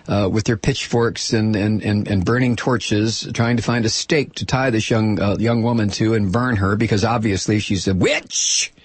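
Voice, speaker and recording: Male American radio host, irreverent and sarcastic, with a husky, creaky voice and dry, close sound. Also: no echo. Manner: dramatic emphasis, swinging from low asides to shouts. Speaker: political talk show host. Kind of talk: opinionated radio monologue, reading and commenting on listener mail and news.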